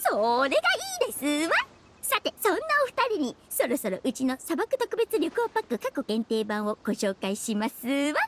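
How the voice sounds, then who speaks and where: A woman's voice: high-pitched, big pitch swings, normal speed, background noise. A woman voicing a small, greedy girl merchant in an anime game, with affectedly polite, ladylike sales patter. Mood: sly, businesslike. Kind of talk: Japanese anime game voice acting. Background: background music.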